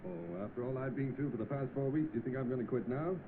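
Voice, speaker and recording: A man's voice: medium pitch, animated, fast talker, natural voice. An actor playing an earnest, self-doubting salesman in an old film drama, polite, hesitant and easily flustered. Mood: determined. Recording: tinny, noisy old film soundtrack.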